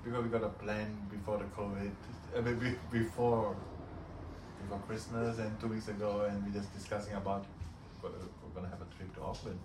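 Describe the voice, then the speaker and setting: Halting man, echoey room. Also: on the low side, flat delivery. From Malaysia. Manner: halting, repeats words, loose grammar. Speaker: podcast guest. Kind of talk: casual chat. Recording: echoey room, distant mic, clear background noise.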